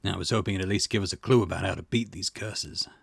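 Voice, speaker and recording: Guy doing a gruff old-hand detective boss for a game, wry n matter-of-fact, husky low voice, real dry close sound. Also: quick pace, a bit bright, no room sound, full character voice. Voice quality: gravelly, husky. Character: seasoned male detective boss, brusque and wry. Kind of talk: reading visual novel dialogue in character.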